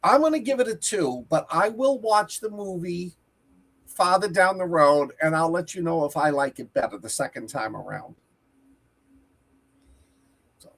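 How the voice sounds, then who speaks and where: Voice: mid-range voice, lively intonation, unhurried, long pauses, poor audio. A podcast co-host and teacher with a Boston accent, rambling casually about movies and correcting themselves mid-sentence. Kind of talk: casual movie review chat.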